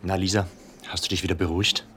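Low-voiced, helpful history teacher. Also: male voice, flat delivery, quick pace, a bit bright, slightly rough, full character voice. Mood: calm, helpful. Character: Herr Tanner, helpful, calm history teacher. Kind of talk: children's radio detective play dialogue.

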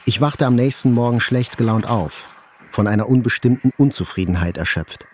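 An actor playing a journalist who soberly recalls his own life in measured literary narration. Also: medium pitch, a little mellow, slight background noise, natural voice. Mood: sober, dry. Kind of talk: literary first-person narration in audio drama. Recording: faint music behind the voice.

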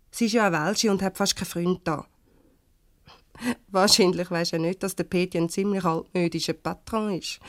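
A woman playing a warm-hearted, defensive divorcee in a Swiss German radio comedy, conversational and a little hesitant. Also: mid-range voice, no echo, studio quality, natural voice. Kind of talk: naturalistic 1970s radio comedy acting.

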